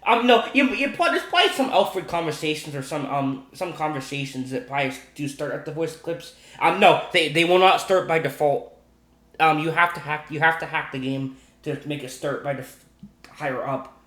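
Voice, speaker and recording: A man casually explaining game modding off the cuff, in a halting, repetitive way that circles back over his points. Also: fairly high pitch, lively intonation, a bit bright. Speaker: gaming video host. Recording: echoey room sound.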